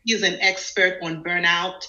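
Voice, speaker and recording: A woman's voice: on the low side, little pitch movement, unhurried, sharp tone. A woman from New Jersey, born in Haiti, hosting an informal interview in a chatty way, thinking aloud as she goes. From born in Haiti, raised in New Jersey. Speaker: livestream host, interviewer. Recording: dull, echoey livestream audio.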